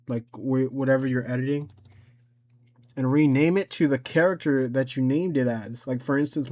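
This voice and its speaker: Halting man giving a casual tutorial. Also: medium pitch, darkish tone. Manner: halting, repeats instructions and self-corrects. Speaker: tutorial creator. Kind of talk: casual unscripted screen-recorded tutorial. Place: voice-over for a screen recording.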